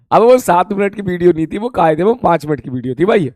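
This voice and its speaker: A hoarse male physics teacher chatting with his students during a live-streamed class, repeating greetings and calling them "beta". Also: high-pitched, a little mellow, no room sound, studio quality.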